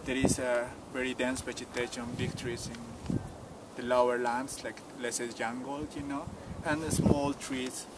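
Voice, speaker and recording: A man's voice: medium pitch, a little slow, heavy background noise. Man telling a story outdoors. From Peru, Cusco countryside. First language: Quechua. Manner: relaxed, frequent "you know", simple phrasing. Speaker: tour guide. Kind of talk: casual storytelling interview. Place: outdoors at a mountain site. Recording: distant outdoor mic with loud ambience.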